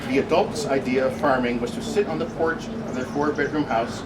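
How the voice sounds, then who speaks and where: A man's voice: mid-range voice, fairly even intonation, brisk. A man reading a humorous tribute speech at a celebration, with wry, joking asides. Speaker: speech reader at a birthday celebration. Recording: distant mic, loud room noise.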